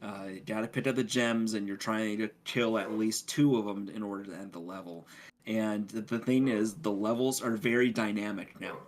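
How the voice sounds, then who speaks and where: A man's voice: lowish voice, steady tone, normal speed. A man talking casually as a podcast co-host, explaining things in a rambling way. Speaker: podcast co-host reviewing video games.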